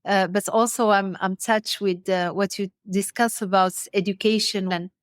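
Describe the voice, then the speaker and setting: A woman from Morocco hosting a podcast, interviewing conversationally in a halting, discursive and affirming way. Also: mid-range voice, steady tone, no echo, studio quality. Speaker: podcast host.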